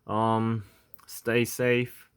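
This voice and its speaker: A man from South Korea speaking English with a Korean accent, talking to camera in a halting, stuttering way with many fillers and "okay?" checks. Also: medium pitch, monotone, clean tone, no room sound. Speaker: vlogger. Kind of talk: unscripted monologue to camera.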